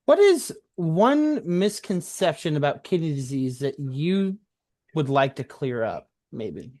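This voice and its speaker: A man hosts a casual interview in a fairly high voice. He speaks earnestly about his own experience, repeating words for emphasis and seeking agreement. The sound is close and dry, with almost no room. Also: sing-song, slow pace, darkish tone, dry recording. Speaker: podcast host who shares own experience.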